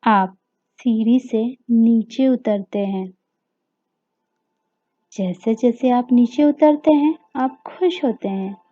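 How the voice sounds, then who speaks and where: A woman with an Indian accent narrating a guided meditation story for children, gently and with deliberate pauses, over music. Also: a little high. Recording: dull lo-fi audio with music behind.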